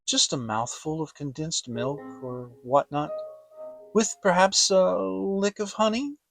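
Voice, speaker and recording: A man voicing a gentle, humble, slow-witted toy bear in a storybook, plaintive and hesitant. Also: fairly high pitch, steady tone, vocal fry, soft background music, voice pushed up, exaggerated voice. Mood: dreamy, wistful.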